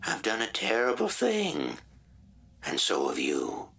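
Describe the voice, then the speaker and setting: Game role: weary old male psychic agent, low gravelly voice full of regret, swinging intonation, music behind. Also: huge pitch swings, takes it slowly, full character voice. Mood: grave, guilty. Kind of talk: video game cutscene acting. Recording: loud music under the voice.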